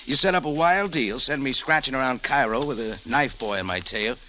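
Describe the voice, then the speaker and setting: A man's voice: medium pitch, a little fast, sharp tone, slight background noise, natural voice, rough recording. An actor playing a tough, wry private investigator, narrating his case in 1940s radio style. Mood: wry, defiant. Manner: hard-boiled, wry. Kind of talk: 1940s radio acting, first-person narration. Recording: old radio drama recording with music.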